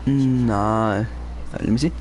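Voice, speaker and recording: A man's voice: on the low side, takes it slowly, darkish tone, a little hiss or hum. A man streaming a game, casually commenting and mumbling the on-screen lines aloud. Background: game music.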